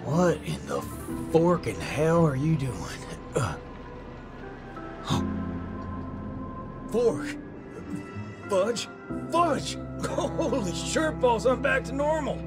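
A man's voice: high-pitched, big pitch swings, drawn-out words, long pauses, music in the background, full character voice. A man playing a brash, folksy cowboy gunslinger in a video game, with a drawl and minced swear words. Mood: exasperated. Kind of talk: video game story acting.

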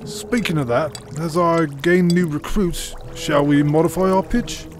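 Audio drama role: actor as deferential, ruthless period henchman, fairly high male voice, formal, music underneath. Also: steady tone, lightly acted. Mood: deferential, pleased. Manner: formal, measured period diction. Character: deferential 1830s English henchman and salesman, quietly ruthless. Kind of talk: family audio drama acting.

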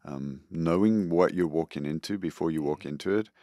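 Deep-voiced middle aged guy on a podcast, earnest, careful, close mic, dry room. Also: dark tone, dry recording. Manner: earnest, measured, repeats words when starting. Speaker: school superintendent, guest. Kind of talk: reflective interview answers. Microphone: close studio boom mic.

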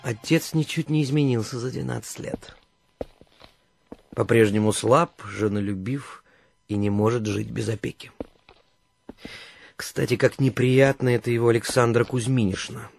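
A man's voice: mid-range voice, moderate pace, vocal fry, long pauses, darkish tone, a little hiss or hum, natural voice. An actor playing a pensive, world-weary man back home after years away, musing unhurriedly in a radio drama. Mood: disapproving musing. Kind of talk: radio drama inner monologue.

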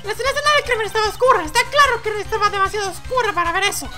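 A man's voice: extremely high pitch, animated, very fast, music in the background, voice pushed up. A man voicing a haughty, impatient young woman prosecutor in a courtroom video game, with imperious, scornful delivery. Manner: imperious, scornful, clipped commands.

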